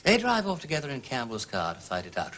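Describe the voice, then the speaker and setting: An actor playing an urbane, breezy gentleman detective with a plummy upper-class English accent, arch and courteous, in a period mystery drama. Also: mid-range voice, huge pitch swings, quick pace. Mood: brisk reasoning. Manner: suave, arch, theatrical, plummy.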